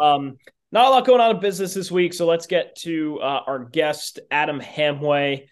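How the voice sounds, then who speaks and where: A man's voice: fairly high pitch, normal speed. A man from the United States chatting casually as a podcast co-host. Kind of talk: casual chat.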